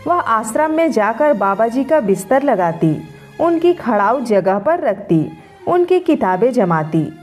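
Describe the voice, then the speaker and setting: Slow, high-pitched woman storyteller. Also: big pitch swings, a little mellow. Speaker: story narrator. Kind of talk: scripted Hindi story narration. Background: background music.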